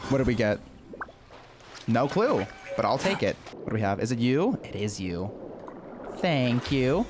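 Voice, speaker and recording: A man's voice: mid-range voice, very animated, unhurried. A man giving casual, wry reactions as he streams a game playthrough. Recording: game music behind the voice.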